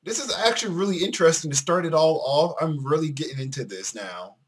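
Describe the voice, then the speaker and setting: A man's voice: fairly high pitch, expressive, a little fast. A man hosting a let's play, giving casual commentary as he goes. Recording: voice over loud game music. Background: loud music.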